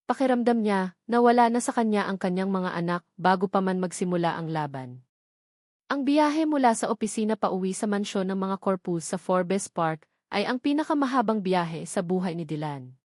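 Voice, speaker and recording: Lady storyteller, measured dramatic narration, mid pitch, very dry recording. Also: expressive, a little mellow, dry recording.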